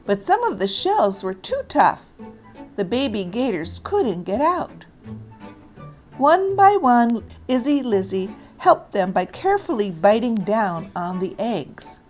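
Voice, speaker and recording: A woman narrating a children's story aloud in an expressive, deliberate read-aloud style. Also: a little high, faint music behind.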